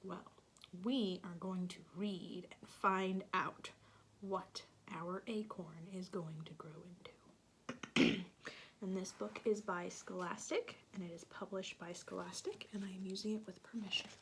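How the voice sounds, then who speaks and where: A woman reading a picture book aloud to young children, gently asking them questions and sometimes dropping to a soft whisper. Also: medium pitch, fairly even intonation, measured pace, slight background noise.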